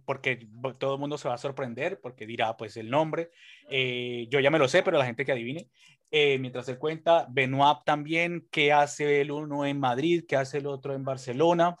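A man's voice: medium pitch, no room sound. A man hosts a podcast with an easygoing, teasing manner. He scatters fillers and tag questions through his talk. His mid-range voice is recorded close and dry, with no room sound. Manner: jokey, lively, lots of fillers and rhetorical tags. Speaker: podcast host. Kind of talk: casual podcast hosting.

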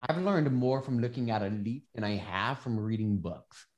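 Man with a slight lisp, animated. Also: mid-range voice, normal speed. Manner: animated, swearing, swings from loud to hushed. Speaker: podcast guest, transformation coach. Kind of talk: casual podcast conversation.

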